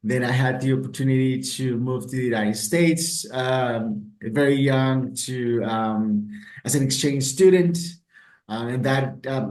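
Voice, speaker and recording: A man's voice: medium pitch, a little mellow. Hesitant Costa Rican man, roomy sound. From Costa Rica. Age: middle-aged. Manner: hesitant, many ums and repeated words. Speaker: travel expert guest. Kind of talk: casual interview answers. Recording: roomy echo.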